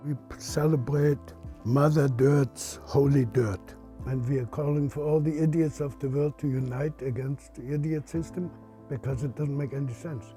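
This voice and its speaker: An elderly man in his eighties with a German accent and a creaky voice, talking casually in a blunt, playful way. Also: medium pitch, fairly even intonation, normal speed, dark tone, soft background music. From Silesia, Central Europe. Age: 80s. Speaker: puppeteer and theater founder. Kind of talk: casual interview storytelling.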